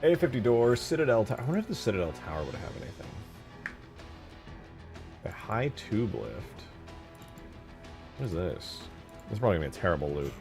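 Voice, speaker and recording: Deep voiced guy rambling casually while modding a game, very up and down, music behind him. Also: sing-song, fairly quick, creaky, long pauses. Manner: informal, slangy, thinking aloud. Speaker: game modder showing work in progress. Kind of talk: casual rambling screen-share commentary.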